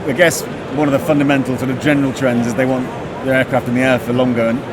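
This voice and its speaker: Fast-talking male interviewer. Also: medium pitch, level tone. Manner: quick, chatty, restarts his questions. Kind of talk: trade show interview. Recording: loud background noise.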